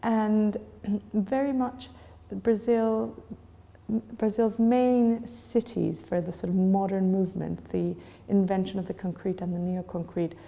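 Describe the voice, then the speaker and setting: A woman's voice: mid-range voice, steady tone, unhurried, creaky, dark tone, long reverb tail. A woman in her forties from Chile, answering interview questions thoughtfully, with many fillers and restarts. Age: 40s. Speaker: gallery owner.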